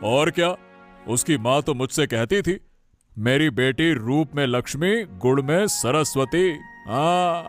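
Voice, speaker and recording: Cartoon patriarch, male actor: older, jovial, approving, very unhurried delivery, mid-range voice. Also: mid-range voice, soft background music, natural voice. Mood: genial. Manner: hearty, approving. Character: kindly, jovial elderly father of the family. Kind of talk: Hindi cartoon moral-story dubbing.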